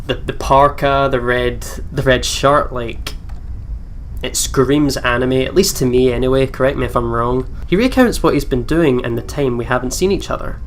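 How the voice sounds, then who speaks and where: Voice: mid-range voice, moderate pace, a little hiss or hum. A let's play host reading game text aloud with casual commentary, over game music.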